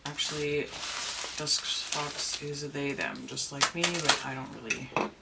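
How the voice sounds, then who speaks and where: A deep-voiced person chatting casually while unboxing a doll at home, rambling and gushing with excitement. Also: unhurried, vocal fry. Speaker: doll collector and vlogger. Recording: noisy home recording.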